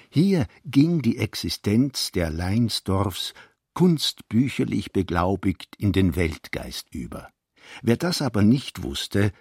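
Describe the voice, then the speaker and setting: A man narrates literary prose for a radio production. His voice sits low and has a husky grain. He reads in a formal, measured way, recorded very dry and close to roomless. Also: darkish tone, dry recording.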